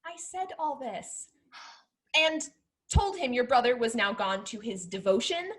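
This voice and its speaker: Woman playing a bold, high-voiced mischievous young lady. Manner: theatrical, emphatic, archaic diction. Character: bold, mischievous young Spanish gentlewoman, scornful of men. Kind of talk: period stage comedy acting.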